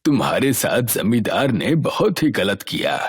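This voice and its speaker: A man voicing an elderly, kindly village man who calmly gives advice in a cartoon dub. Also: fairly low pitch, measured pace, over music. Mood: sympathetic. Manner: calm, advising.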